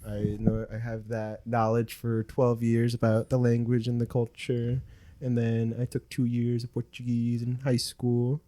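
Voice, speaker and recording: A man's voice: medium pitch, completely flat delivery, a little slow, warm tone, a little hiss or hum. A young man, a native Spanish speaker, co-hosting a podcast in casual chat, teasing and laughing often. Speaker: podcast co-host.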